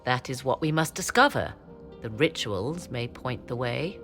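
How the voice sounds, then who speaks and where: An actress playing a proud, guarded and ambitious sorceress in a fantasy game, speaking in formal, measured, haughty phrases. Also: female voice, rumbling low, animated, over music, heavily acted. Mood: cool, resolute. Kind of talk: dramatic scripted game dialogue.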